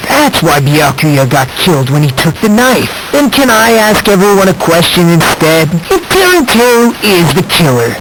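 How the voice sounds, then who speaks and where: A man's voice: high voice, background noise. A man voicing a polite, unsettling teenage boy in a video game, with theatrical, needling questions. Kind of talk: English video game voice acting.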